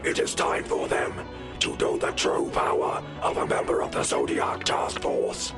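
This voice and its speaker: A man performs a menacing warlord king for an audio drama. The voice is a harsh, breathy growl with almost no clear pitch, commanding and grandiose. Loud music plays underneath. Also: lightly acted. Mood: grim resolve. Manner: grandiose, imperious, slow pronouncements. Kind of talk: audio drama villain acting.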